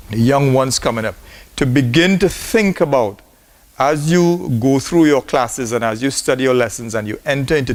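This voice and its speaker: Earnest man giving an address. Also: mid-range voice. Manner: earnest, exhorting. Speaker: speaker at a university forum. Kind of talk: formal closing address.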